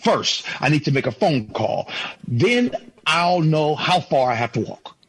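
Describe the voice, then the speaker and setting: Very animated, stubborn family man. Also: on the high side, measured pace, a little hiss or hum. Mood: muttering, resolute. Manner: animated muttering, folksy exclamations. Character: stubborn, exasperated family man, a determined 1950s traveler. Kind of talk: old-time radio drama acting.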